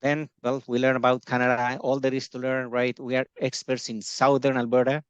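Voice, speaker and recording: A middle-aged man originally from Colombia, an education agency founder talking in an interview, pausing often with fillers and restarting his sentences. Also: medium pitch, level tone, clean tone, dry recording, dull audio. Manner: hesitant, many fillers and restarts. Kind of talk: casual video-call interview.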